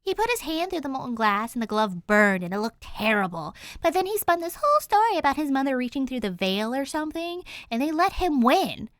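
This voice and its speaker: A woman voicing a brash, excitable teenage ghost clone girl who rambles indignantly. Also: high-pitched, brisk, hardly any pauses, no echo, voice pushed up. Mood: rambling.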